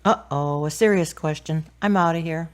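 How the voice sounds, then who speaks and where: Radio play pal, played by a woman: supportive, playful teaser, slightly low voice. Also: normal speed, natural voice. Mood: teasing. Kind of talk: radio drama acting.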